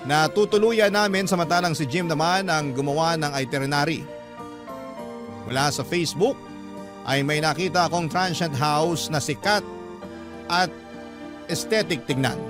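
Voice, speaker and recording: A man delivers scripted first-person storytelling for a radio drama. His voice sits slightly high for a man. Music is clearly audible behind the narration. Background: clear background music.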